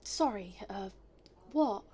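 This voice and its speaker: A woman's voice: medium pitch, lively intonation, takes it slowly, warm tone, husky. A woman acting a polite, hesitant and sceptical visitor in a naturalistic audio drama, rambling a little awkwardly. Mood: awkward, confused.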